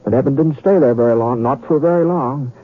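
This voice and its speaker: A man's voice: mid-range voice, lightly acted. Man as folksy western storyteller on tinny radio. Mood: folksy, wry. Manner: folksy, conversational, halting. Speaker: narrator. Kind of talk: old-time radio storytelling. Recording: tinny radio sound with crowd noise.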